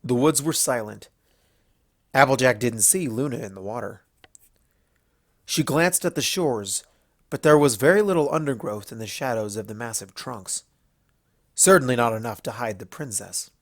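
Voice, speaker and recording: A man reading scripted fan fiction as narrator, telling the story in an expressive way. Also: mid-range voice, normal speed, pauses often, no room sound, studio quality.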